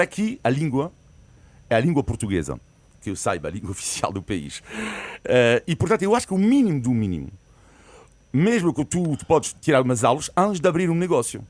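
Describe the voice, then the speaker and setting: A man's voice: medium pitch, dry recording. A man speaking as a commentator on a studio panel, emphatic and prone to repeating his points. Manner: animated, emphatic, repeats himself.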